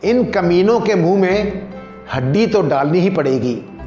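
A man plays a corrupt police station chief from a short story, domineering and sly. The voice is high and deliberate, scolding in a commanding way. The sound is dull and echoey. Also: lively intonation, faint music behind. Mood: grave, confiding. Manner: scolding, commanding, falsely sympathetic. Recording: roomy echo, dull lo-fi sound.

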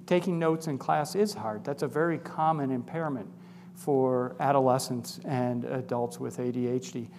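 An older man in his sixties lectures from a large stage, his somewhat high voice carrying through a long hall reverb. He speaks on a lapel mic, animated and conversational, asking the audience questions, with faint room noise underneath. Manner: animated, gestures widely, asks the audience questions. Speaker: psychology professor giving a public talk.

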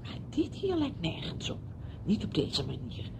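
A creaky-voiced, rather low-pitched chatty woman for a radio play. She is brisk, opinionated and insistent, a well-meaning friend who meddles, and her intonation swings widely. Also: wildly swooping pitch, noisy background, full character voice. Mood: reproachful. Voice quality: husky, creaky. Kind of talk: Dutch radio play acting.

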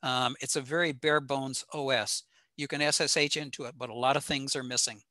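A man with a bright, forward voice gives an informal technical presentation. His delivery is plain-spoken and matter-of-fact. The sound is dry and close, with very little room in it. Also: medium pitch, steady tone, a little fast, no echo. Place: indoor home room, over a video call.

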